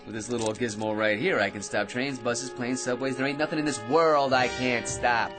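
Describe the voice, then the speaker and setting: An actor in an old-time radio drama playing a boastful, excitable barfly who chatters in wheedling slang. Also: male voice, mid-range voice, normal speed, music in the background, natural voice. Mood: cocky showing off. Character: boastful, excitable, talkative male barfly with a magic gizmo.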